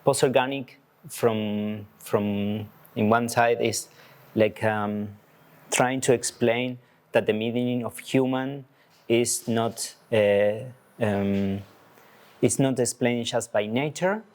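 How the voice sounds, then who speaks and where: A man from Argentina, an artist with a biology background, explaining concepts in a talk, halting and searching for words. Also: mid-range voice, fairly even intonation, darkish tone. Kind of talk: presenting a talk to an audience.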